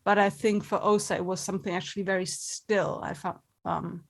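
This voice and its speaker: A woman's voice: medium pitch, little pitch movement, brisk. A woman being interviewed as an actor, talking casually and candidly, thinking her answers through as she goes. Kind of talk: casual chat.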